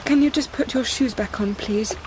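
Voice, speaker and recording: A woman's voice: fairly high pitch, moderate pace, background noise, lightly acted. An actress playing an earnest, troubled woman psychiatrist, tender but guarded in a radio drama. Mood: anxious, tearful.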